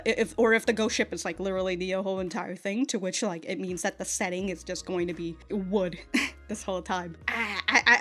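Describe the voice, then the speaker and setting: A woman's voice: mid-range voice, fairly bright tone. A woman chatting casually and unscripted as an online reviewer, rambling and circling back over her phrases, with some casual swearing. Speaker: YouTube anime and manga reviewer.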